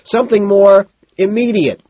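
Man acting an impatient yuppie, shouting high. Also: moderate pace, dry recording, muffled recording. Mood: decisive shouting. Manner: shouting over a crush, insistent. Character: impatient, desperate male yuppie partygoer.

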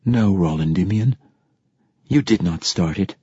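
A man voicing a battle-worn woman rebel soldier in a storybook, grave, deliberate and defiant. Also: low-pitched, mellow, slightly rough, dry recording, muffled recording. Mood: grimly defiant.

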